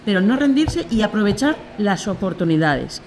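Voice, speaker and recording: A woman's voice: mid-range voice, big pitch swings, normal speed, a little mellow. A woman, a small business owner explaining at length as a podcast guest. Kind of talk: casual podcast conversation. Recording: crowd noise behind.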